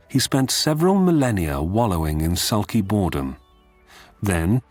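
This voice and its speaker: Male guide narrator, lowish and very varied in pitch, faint music underneath. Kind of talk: scripted mock-encyclopedia narration.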